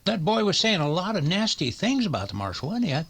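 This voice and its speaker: An actor playing a fretful, hesitant town doctor in a western radio drama, halting and hedging as he speaks. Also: high voice, big pitch swings, a bit bright, heavily acted. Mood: worried, hesitant.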